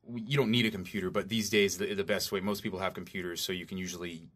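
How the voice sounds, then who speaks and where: Low voiced guy, audio engineer being interviewed, kinda halting, figuring out his explanation as he goes. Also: steady tone, a bit bright, husky. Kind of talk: informal interview answers.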